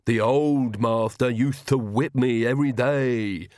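A grumbling old manservant, as a narrator puts him on, drawn-out and muttering, with a throaty tone unlike the narrator's own. He complains in a slow, self-pitying drone. The sound is dry and close. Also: mid-range voice, darkish tone, no room sound, lightly acted. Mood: proud. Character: grumbling hunchbacked old male servant.

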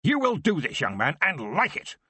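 A raging aristocratic lord in a storybook reading, bellowing loudly in a pitch pushed far above his natural register, as a male narrator puts him on. His voice swoops with wide, lively swings and sits slightly husky in a dry, close space. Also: moderate pace, dry recording, exaggerated voice. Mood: enraged. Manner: roaring, pompous. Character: furious aristocratic father, roaring and domineering.

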